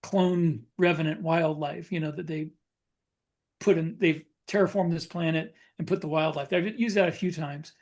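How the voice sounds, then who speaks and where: A man chatting casually as a podcast co-host, thinking aloud in a halting way with repeated phrases. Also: a little high, fairly even intonation, a little mellow. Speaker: podcast co-host and science fiction author.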